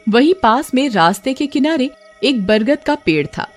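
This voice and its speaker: A woman narrates a horror story in a scripted, dramatic style. Her voice is on the high side and swings expressively, with music playing behind it. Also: lively intonation, normal speed.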